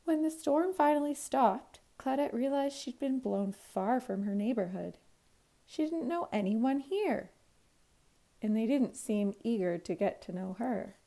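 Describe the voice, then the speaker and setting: A woman, a teacher reading a children's storybook aloud in an expressive, clearly phrased way. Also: a little high, unhurried. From Canada.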